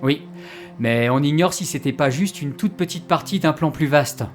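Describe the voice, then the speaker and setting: A man's voice: a little high, normal speed, soft background music, lightly acted. A man playing a gloomy, wry time traveller in an audio drama, easily exasperated and given to hesitant explanations. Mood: grave, resigned. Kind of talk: French audio drama acting.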